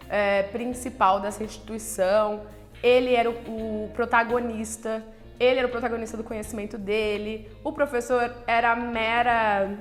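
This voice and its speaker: A nutritionist, talking frankly to camera in a chatty way, full of filler words and rhetorical questions. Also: female voice, a little high. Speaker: nutritionist and channel host. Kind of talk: casual talk to camera.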